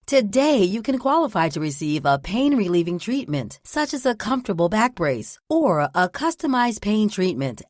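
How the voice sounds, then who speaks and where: A woman's voice: medium pitch, big pitch swings, moderate pace, hardly any pauses, no room sound, clean studio sound. A woman announcer reading a scripted radio commercial. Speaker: advertisement announcer.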